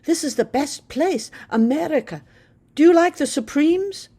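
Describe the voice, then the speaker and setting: A woman's voice: high-pitched, lively intonation, a little slow, dark tone, voice pushed up. A woman voicing an eager, chatty teenage girl in a spoken memoir. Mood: excited.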